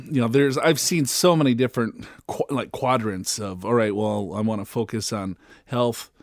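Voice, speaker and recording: A man's voice: mid-range voice, moderate pace, no room sound, clean studio sound. A man with an American accent co-hosting a casual talk show, slangy and stopping and restarting mid-thought. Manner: informal, slangy, starts and stops mid-thought. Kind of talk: casual chat.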